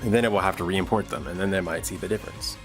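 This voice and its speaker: Casual male streamer, lowish voice, rambling think-aloud delivery, music underneath. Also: very fast. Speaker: livestream host and audio drama producer. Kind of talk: casual livestream chat while working.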